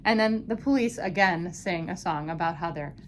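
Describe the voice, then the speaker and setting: A woman's voice: on the low side, normal speed, slight background noise. A woman telling a puppet-show story for children in a playful, informal way with simple explanations.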